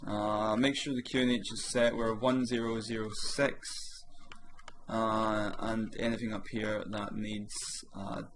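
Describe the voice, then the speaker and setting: A man, a bit hoarse from a sore throat, chatting casually as he walks through each step of a flight simulator session. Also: on the low side, flat delivery, unhurried, noisy background. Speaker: flight sim streamer. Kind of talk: casual gameplay commentary.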